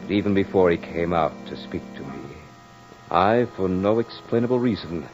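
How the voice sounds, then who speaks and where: A man's voice: sits low, animated, normal speed, darkish tone. An actor playing a brooding French nobleman and husband in an old-time radio horror drama, speaking in a formal, grave, theatrical manner. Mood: helpless dread. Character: brooding French nobleman husband, narrating his tale of dread. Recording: muffled old radio recording with music.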